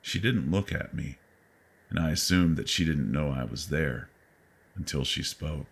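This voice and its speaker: A man narrating a horror story in the first person, with deliberate, suspenseful delivery and dramatic pauses. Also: rumbling low, gravelly, slightly rough.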